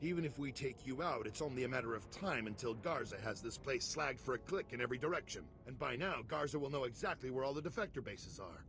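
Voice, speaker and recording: Gravelly, world-weary veteran commander. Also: male voice, mid-range voice, lively intonation, quick pace, few pauses, a bit bright, slight background noise. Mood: grim. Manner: curt, sardonic, bitter. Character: gruff, world-weary male veteran commander, fiercely protective. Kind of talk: video game cutscene acting. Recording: music behind the voice.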